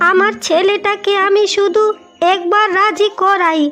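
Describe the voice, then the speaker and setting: Cartoon mother-in-law, older village nag: extremely high voice, bitter and complaining, music underneath. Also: fairly even intonation, unhurried, pushed and bright, full character voice. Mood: angry scolding. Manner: nagging, insulting, complaining. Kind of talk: Bengali cartoon moral story acting. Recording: music behind the voice.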